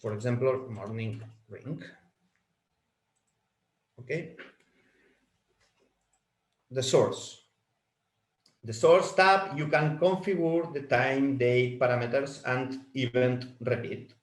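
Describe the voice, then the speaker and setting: Slow, halting man in an echoey room. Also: mid-range voice. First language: Spanish. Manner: halting, repeats words while clicking through settings. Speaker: product trainer. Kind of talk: software walkthrough webinar.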